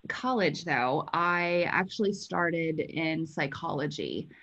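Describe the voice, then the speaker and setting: A woman in her thirties with an American accent, speaking in an animated, informal way as a guest in a casual interview. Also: low voice, slow, lo-fi sound, a little hiss or hum. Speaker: podcast guest, sales coach.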